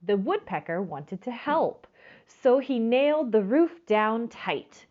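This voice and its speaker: Woman storytime reader, expressive and lively in pitch, addresses children directly, slightly dull lo-fi recording. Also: slow pace. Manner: expressive, stretches words for emphasis. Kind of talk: children's storybook read-aloud with asides to listeners.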